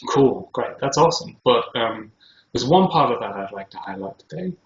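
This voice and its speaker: A man from Scotland with a British accent, talking casually and haltingly as the host of a video show, recorded in his kitchen. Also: medium pitch, lively intonation. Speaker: host interviewing himself. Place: home kitchen. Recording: roomy, echoey, dull sound with faint noise.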